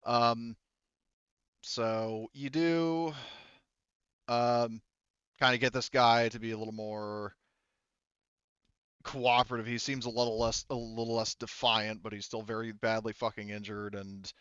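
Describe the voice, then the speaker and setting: Male game master, clear smooth voice, dry close sound slightly dull, casual refereeing of a tabletop game. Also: medium pitch, fairly even intonation, a little slow, pauses often, fairly bright tone, no room sound.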